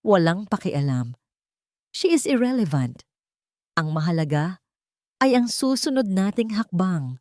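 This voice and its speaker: A woman's voice: on the low side, big pitch swings, unhurried, long pauses, a little mellow, no echo, clean studio sound. A woman voicing a calculating, dismissive male business rival in an audio story, mixing English and Tagalog.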